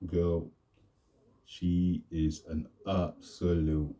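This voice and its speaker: Deep-voiced laid-back young man. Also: flat delivery, dark tone. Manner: deliberately deepened, laid-back voice. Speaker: vlogger. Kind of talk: rambling casual monologue.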